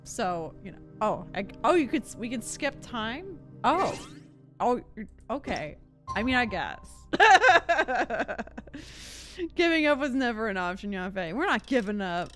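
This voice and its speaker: A woman's voice: a little high, sing-song, moderate pace, faint music behind. A woman game streamer giving casual, playful, slangy and giggly commentary on her playthrough.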